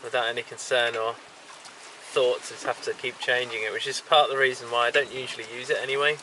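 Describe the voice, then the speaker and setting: Solo sailor vlog voice: man, mid pitch, halting and casual, recorded outdoors at sea with clear wind and water noise. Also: level tone, bright, forceful tone, rough recording. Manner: halting, lots of ums and restarts. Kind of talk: casual video diary. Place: outdoors on a small sailboat at sea. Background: wind, water and boat sounds.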